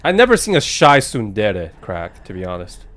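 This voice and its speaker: A man doing casual live commentary as he plays a game, chatty and wry with halting reactions. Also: fairly low pitch, wildly swooping pitch, a bit bright. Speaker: game streamer. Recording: faint game music behind.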